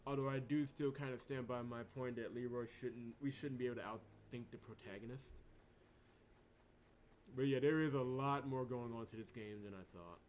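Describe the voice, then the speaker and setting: A man doing let's play commentary, thinking aloud in animated bursts. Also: mid-range voice, normal speed, slight background noise. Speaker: game playthrough host. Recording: music behind the voice. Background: video game music.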